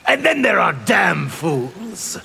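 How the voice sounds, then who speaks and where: A man playing a brutal, sadistic warrior in a fighting game, gravelly and taunting. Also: high voice, very animated, pushed and bright, faint music behind, full character voice. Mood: threatening. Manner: slow, taunting, menacing. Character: Reiko, brutal sadistic male warrior general. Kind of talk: fighting game character acting.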